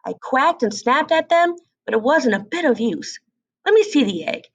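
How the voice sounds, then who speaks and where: A woman's voice: high voice, big pitch swings, moderate pace, pitched above the performer's own voice, full character voice. A woman voicing an opinionated old female duck in a storybook, gossipy, insistent and matter-of-fact.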